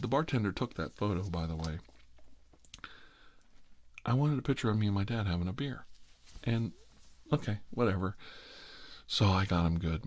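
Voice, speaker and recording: A man's voice: fairly low pitch, no echo. A middle-aged man with an American accent telling a rambling personal story in a casual, wry, self-deprecating way. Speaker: host.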